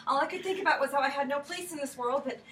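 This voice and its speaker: A flustered, lovelorn woman played by an actress in a staged play reading, with a high, bright voice. She stammers and rambles apologetically. The sound is roomy, picked up from a distance. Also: fast talker. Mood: anxious, hopeful. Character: flustered, heartbroken woman searching for a lost love. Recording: roomy, distant mic, faint noise.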